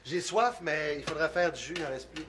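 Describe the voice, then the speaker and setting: A man's voice: a little high, fairly quick, fairly bright tone, a little hiss or hum, lightly acted. An actor in his forties playing a teasing, playful father in a sitcom. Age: 40s. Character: teasing fortysomething dad, Claude, playful. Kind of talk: sitcom acting.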